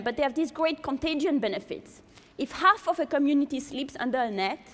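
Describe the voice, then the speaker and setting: High-voiced woman with a French accent. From France. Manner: poses many rhetorical questions to the audience. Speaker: speaker giving a talk to an audience. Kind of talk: public lecture. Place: large lecture hall with an audience. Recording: long hall reverb.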